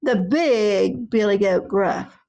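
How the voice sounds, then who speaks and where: A woman's voice: medium pitch, slow, gravelly, darkish tone, highs cut off. A woman voicing a big, bold male billy goat who makes defiant declarations in a children's folk tale.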